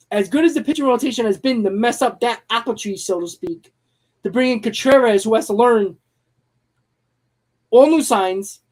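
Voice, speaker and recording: A man hosting a live-streamed sports talk show, hoarse from losing his voice, giving blunt, emphatic opinions. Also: very high-pitched, a little mellow.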